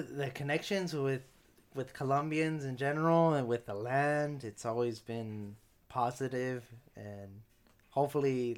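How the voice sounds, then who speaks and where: A young man talking casually as a podcast guest, rambling with lots of fillers and restarts. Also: medium pitch.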